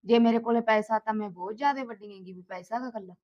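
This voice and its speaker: High-pitched Punjabi wife played by an actress. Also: female voice, fast, a little mellow, no echo, dull audio, close to an everyday voice. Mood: coaxing, longing. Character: lonely, lovestruck wife and mistress of a household. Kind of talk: amateur short-film drama acting.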